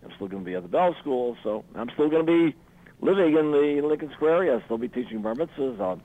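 An older man, a retiring cantor, answering a radio interview in a halting way, often repeating words. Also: mid-range voice, lively intonation, phone-quality sound.

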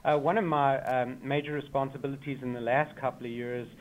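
An effusive man with a South African accent, talking eagerly as a guest on a radio show. His voice sits at an ordinary middle pitch, and he piles on warm praise while a few filler sounds break up his sentences. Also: steady tone, a little fast, lo-fi sound, a little hiss or hum. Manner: enthusiastic, effusive. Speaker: choir member, guest. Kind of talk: radio interview.

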